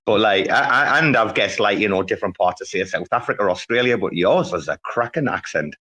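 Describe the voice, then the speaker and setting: Man, British accent, lower pitch, chatty host, informal slang, enthusiastic, casual conversation. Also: animated, a little fast, sharp tone. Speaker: show host. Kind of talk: casual chat.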